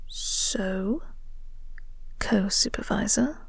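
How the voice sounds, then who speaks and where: A woman's voice: medium pitch, dry recording, heavily acted. Woman acting as a whispering, flirty vampire co-worker. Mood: calm, soothing. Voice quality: soft, breathy, whispery. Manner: teasing, coy, unhurried. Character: flirty, teasing vampire woman, office co-worker, calmly soothing. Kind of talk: intimate audio roleplay, whispered ASMR-like acting.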